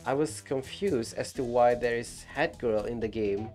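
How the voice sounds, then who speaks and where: A phlegmy man, still getting over a bout of flu, streams a game live and rambles as he plays. He talks slowly and unhurriedly, chatting with his viewers between comments. Faint game music plays behind him. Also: medium pitch, a little mellow. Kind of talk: casual live gameplay commentary.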